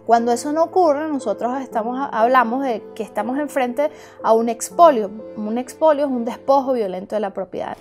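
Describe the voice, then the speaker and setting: High-voiced woman explaining as an expert. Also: a little fast, few pauses, darkish tone, soft background music. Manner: didactic, addresses the listener with examples. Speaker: human rights observatory expert. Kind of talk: explanatory interview commentary.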